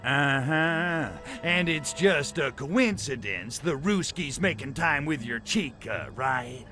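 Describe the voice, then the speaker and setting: A lecherous, wisecracking alien invader from a video game. His voice sits a little high and swings widely as he drawls out sardonic innuendo. Music plays prominently behind him. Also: expressive, takes it slowly, bright, forceful tone, heavily acted. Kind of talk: video game cutscene acting.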